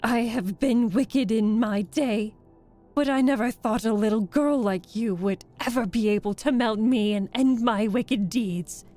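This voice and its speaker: A woman's voice: on the high side, a little slow, warm tone, soft background music, lightly acted. A woman voicing a wicked old witch in a dramatic storybook reading. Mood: wailing, despairing. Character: wicked old witch, scornful, wailing as she melts.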